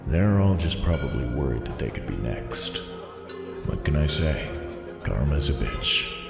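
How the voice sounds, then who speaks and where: An actor playing a weary, gruff police detective and devoted husband in an audio drama, with a dry, sardonic manner and a gravelly voice. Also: male voice, extremely low pitch, sing-song, unhurried, over loud music, full character voice. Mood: weary, sardonic narration. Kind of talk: audio drama acting and first-person narration.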